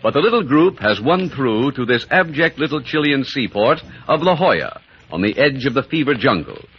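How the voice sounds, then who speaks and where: A man's voice: mid-range voice, sing-song, moderate pace, slightly rough. A man playing a theatrical old-time radio serial announcer, reading a scripted recap in declamatory fashion. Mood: portentous. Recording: dull, noisy vintage radio recording.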